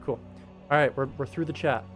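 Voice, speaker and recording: A man's voice: mid-range voice, brisk. A man hosting a livestream, chatting casually and explaining his work in an easygoing way. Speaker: livestream host and animator. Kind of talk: casual livestream chat, answering viewers while working. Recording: music playing behind the voice. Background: music.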